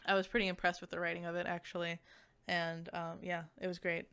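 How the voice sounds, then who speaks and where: A woman's voice: on the low side, completely flat delivery, fairly quick, vocal fry, fairly bright tone, clean tone. A woman giving a casual, chatty game review in an enthusiastic, informal and giggly manner. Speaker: video game reviewer and streamer.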